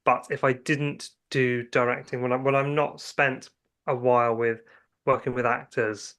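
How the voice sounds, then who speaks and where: A man's voice: mid-range voice, flat delivery, unhurried, a bit bright, clear, resonant tone. A man speaking as an interview guest, chatting casually about his creative work in rambling anecdotes with hesitant starts. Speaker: guest writer and filmmaker.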